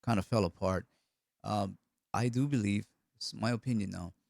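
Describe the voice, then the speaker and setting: A middle-aged man with a cold, from Hawaii, hosts a relaxed live stream. His low voice has a gravelly, croaky edge. The sound is dry and close, and he rambles with frequent restarts. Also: normal speed, no echo. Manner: rambling, repeats words. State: hoarse with a cold. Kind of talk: casual live stream chat answering comments.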